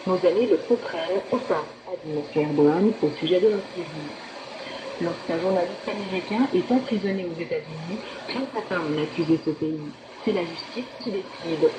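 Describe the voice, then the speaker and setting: A woman radio presenter reading a scripted news bulletin in a formal, measured manner, heard over shortwave radio. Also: lowish voice. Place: broadcast heard through a shortwave receiver. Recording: noisy, echoing shortwave radio reception.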